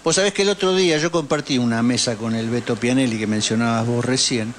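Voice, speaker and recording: A man's voice: mid-range voice, fast talker, slight background noise. An Argentine man hosting a political radio interview in an informal, conversational way. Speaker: radio host.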